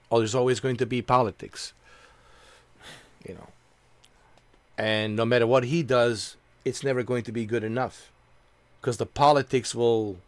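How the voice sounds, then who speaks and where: Man, casual streamer chat, rambling, repeats himself, mid pitch, dry close sound. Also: no echo. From Bosnia. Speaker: gaming streamer. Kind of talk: casual chat while playing.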